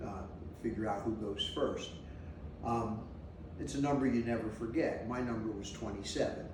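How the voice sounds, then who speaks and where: A man in his sixties from New Jersey, recalling his past in a rambling, halting way during an unscripted interview. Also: on the low side. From New Jersey, USA. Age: 60s. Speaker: interviewee sharing memories. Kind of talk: unscripted oral-history recollection. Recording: echoey room, mic at a distance, faint noise.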